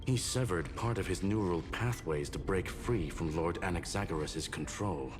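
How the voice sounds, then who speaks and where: An actor voicing a calm, logical male machine intelligence in a video game, speaking in a precise, clipped, analytical way over game music. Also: low-pitched, moderate pace, close to an everyday voice. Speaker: game character.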